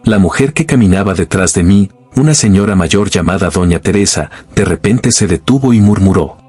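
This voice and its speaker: A man reading a scripted first-person horror story in a slow, grave, suspenseful way. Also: sits low, expressive, darkish tone, slightly rough. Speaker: narrator.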